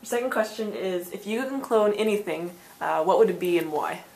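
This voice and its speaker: A woman's voice: medium pitch, moderate pace, creaky, darkish tone, slight background noise, low-quality recording. A woman interviewer reading out playful questions.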